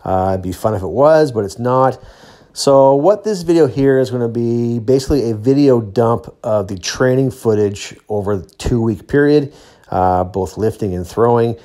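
A man's voice: medium pitch, moderate pace, dark tone. A middle-aged man giving a casual, plain-spoken voice-over of training footage, explaining as he goes. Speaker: athlete and training vlogger.

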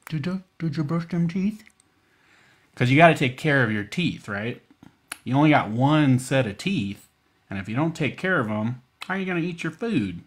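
Folksy American man hosting children's storytime. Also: on the low side. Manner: folksy, informal, coaxing with check-in questions. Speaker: library storytime host.

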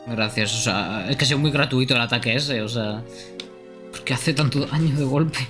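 A man's voice: medium pitch, normal speed. A young man giving casual live gameplay commentary as a streamer. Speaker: game streamer. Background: loud game music.

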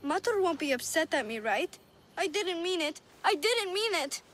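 A woman playing a naive, lonely little orphan girl in a video game, with an earnest, halting, childlike way of speaking. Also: extremely high pitch, big pitch swings, fairly bright tone, slight background noise, heavily acted. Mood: sad, earnest. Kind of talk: video game cutscene dialogue.

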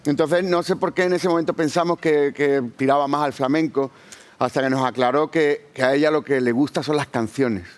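A middle-aged man coaching on a TV talent show, giving halting, heartfelt spoken praise in a large studio. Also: a little high, steady tone. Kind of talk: casual spoken feedback.

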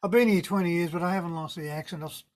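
A man's voice: sits high, racing. Laid-back Tasmanian man on a video call. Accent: Tasmanian Australian. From Tasmania, Australia. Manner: relaxed, rambling storyteller with laid-back understatement. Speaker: surf photographer guest. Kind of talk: casual interview on a video call. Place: indoor room with a virtual wave backdrop. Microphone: video call webcam.

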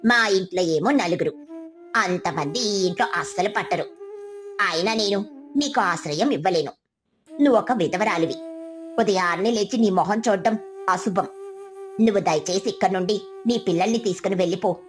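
A haughty cartoon crow, acted by a woman, speaks in a lowered pitch over music. She is cold and dismissive, every line scornful. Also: normal speed, long pauses, natural voice.

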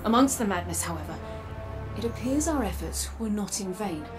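Actress doing grave guarded warrior woman in a video game, solemn n breathy, mid pitch, music behind. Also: animated, lightly acted. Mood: resolute, serious. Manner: measured, solemn. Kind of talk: dramatic video game acting.

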